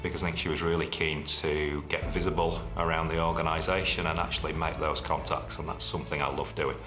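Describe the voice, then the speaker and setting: A man's voice: extremely low pitch, flat delivery, a little fast. A middle-aged man with a Yorkshire accent, a healthcare executive giving frank, reflective answers in an interview. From Yorkshire, England. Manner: frank, plain-spoken, reflective. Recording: roomy room with music underneath.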